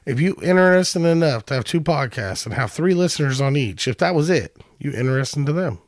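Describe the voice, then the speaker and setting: A man's voice: on the high side, expressive, a little fast, no echo, clean studio sound. A man co-hosting a podcast, joking and teasing in slangy, profane casual talk. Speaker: podcast co-host. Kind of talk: casual stoner podcast chat.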